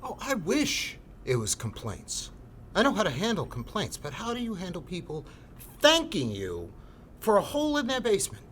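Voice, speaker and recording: Exasperated fifties building manager, higher voice, very animated, blunt, no-nonsense, audio drama, faint background noise. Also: male voice, moderate pace, full character voice. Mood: incredulous, ranting. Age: 50s. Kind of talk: scripted podcast drama.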